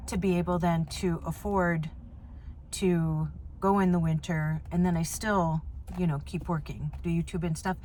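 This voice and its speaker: Woman, 50s, lowish voice, rambling casual vlog chat, faint background hum. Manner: rambling, chatty, frequent fillers. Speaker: vlogger. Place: inside a van.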